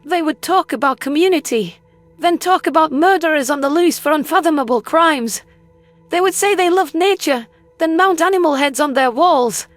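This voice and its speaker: A high, stammering female alien played by a woman in a science fiction audio drama. She is traumatized and bitter, speaking with agitated intensity about what frightens her. Faint music sits underneath. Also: animated, normal speed. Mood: bitter, horrified. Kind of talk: dramatized audiobook character acting.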